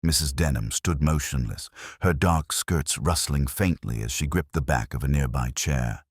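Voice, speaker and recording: A man narrating a scripted story in a measured, literary, dramatic manner. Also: very deep voice, husky, dry recording, clean studio sound.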